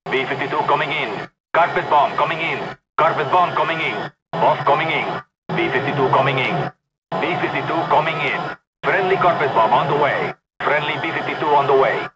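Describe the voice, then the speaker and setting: A man's voice: on the high side, very noisy, lightly acted. A man voicing a stern military commander in a video game, giving clipped, urgent battlefield callouts. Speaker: multiplayer game announcer.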